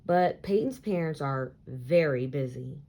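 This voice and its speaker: A woman reading a children's story aloud in an expressive, deliberate storytelling style. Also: sits low. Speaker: picture book read-aloud reader.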